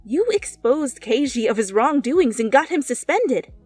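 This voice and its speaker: A woman voicing an earnest, expressive schoolgirl heroine in an audio fan story. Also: high-pitched, measured pace, no echo, faint music behind, pitched above the performer's own voice, heavily acted. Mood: accusing, amazed.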